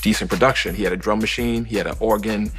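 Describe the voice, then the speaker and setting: A man's voice: fairly low pitch, steady tone, fast, a bit bright, soft background music. A man in a documentary interview recalling a friend's home recordings, quoting his own reaction.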